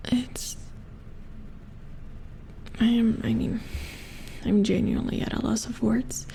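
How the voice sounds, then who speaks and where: A woman playing a weary, puzzled robot repair engineer in a soft-spoken ASMR horror roleplay, murmuring hesitantly in a hushed, breathy voice close to the mic. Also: on the high side, heavily acted. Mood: puzzled, apologetic. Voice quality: breathy whisper with fry. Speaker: ASMR performer. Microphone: close ASMR microphone.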